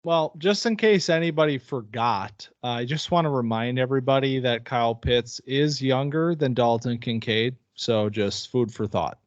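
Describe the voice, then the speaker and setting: A man's voice: mid-range voice, normal speed, few pauses, no echo, lo-fi sound. A man co-hosting a casual sports podcast, chatty and upbeat, often restarting his phrases. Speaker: podcast co-host.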